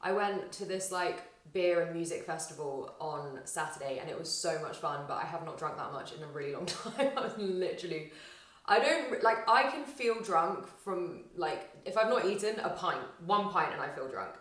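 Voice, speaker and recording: A young woman vlogging casually to camera, chatty and rambling, her voice slightly husky and tired after a weekend out. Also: on the low side, animated, normal speed, a bit bright, recorded from a distance. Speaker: vlogger and aspiring actor. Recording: roomy echo, faint music.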